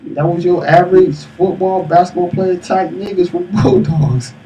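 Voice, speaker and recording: A man's voice: high voice, steady tone, moderate pace, dark tone. A man in his late twenties co-hosting a live streamed talk show, chatting casually in slangy banter. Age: late 20s. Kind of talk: casual chat. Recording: noisy, distant live stream mic.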